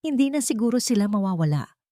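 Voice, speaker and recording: A woman's voice: medium pitch, big pitch swings, fast, warm tone, no echo, studio quality, voice pushed up, close to an everyday voice. A woman voicing a sincere, tender young woman artist in an audio romance story. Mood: vulnerable.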